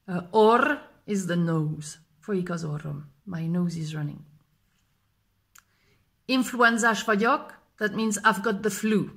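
A woman in her thirties teaching a language lesson to camera, repeating each phrase slowly and clearly, filmed close up indoors. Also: lowish voice, fairly bright tone. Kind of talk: slow vocabulary lesson. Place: indoors in front of a plain gray wall. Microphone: close camera framing, face fills frame.

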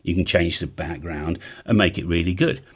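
A middle-aged man with an English accent and a husky voice, explaining technical matters informally and emphatically, often checking in with the listener. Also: deep voice, normal speed. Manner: conversational, emphatic, checks in with "right?". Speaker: presenter. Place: home music studio room, indoors.

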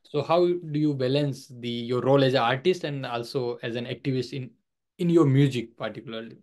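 A man's voice: medium pitch, a little fast, a little mellow. A young man hosting an interview over a video call, asking long questions and repeating words like "basically" and "also". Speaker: podcast host.

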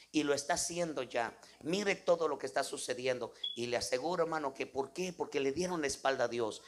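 Male preacher, Guatemalan accent, medium pitch, impromptu sermon, exhortative, frequent devotional interjections. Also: a bit bright. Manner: exhortative, repeats devotional interjections and tag questions. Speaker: evangelical preacher.

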